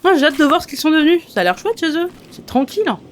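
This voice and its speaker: A woman's voice: high voice, big pitch swings, fast talker, slight background noise. A woman playing an eager, friendly travel companion in a comedic audio drama. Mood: cheerful.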